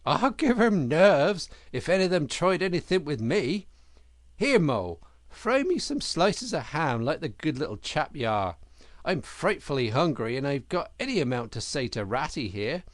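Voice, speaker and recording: A man voicing a hearty, boastful otter in a storybook, chummy and brisk, with jolly, emphatic old-school slang. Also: fairly high pitch, sing-song, no room sound, studio quality. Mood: jovial, boastful.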